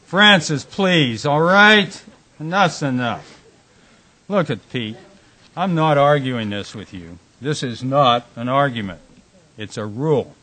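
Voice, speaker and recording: A man acting a husky, blunt bar boss. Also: mid-range voice, lively intonation, slow pace, sharp tone, full character voice. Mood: firm. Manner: blunt, curt, commanding. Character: gruff, fed-up male bar owner keeping order. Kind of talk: radio drama acting.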